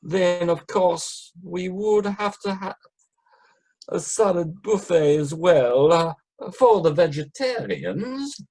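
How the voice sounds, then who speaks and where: Casting: actor as unhurried, reassuring male committee man, very lively intonation, practical, amateur radio drama. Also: high voice, exaggerated voice, low-quality recording. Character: practical, reassuring male society member organising supper. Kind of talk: amateur radio play acting.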